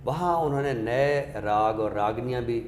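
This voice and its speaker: Echoey Pakistani man, scholarly and deliberate. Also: mid-range voice. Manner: scholarly, deliberate, explains by question and example. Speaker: literary expert on a talk show. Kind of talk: explanatory literary discussion. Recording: echoey hall reverb with background noise.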